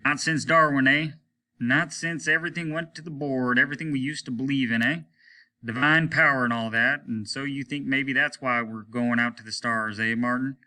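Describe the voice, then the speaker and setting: Male reader as aging space captain, irritable, commanding, hard bright tone, raised pitch, snapping delivery, audiobook character. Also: steady tone, normal speed, rough recording. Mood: brooding. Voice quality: sharp. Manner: snapping, indignant, barking rhetorical questions. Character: irritable weary aging rocket ship captain, commanding and scornful.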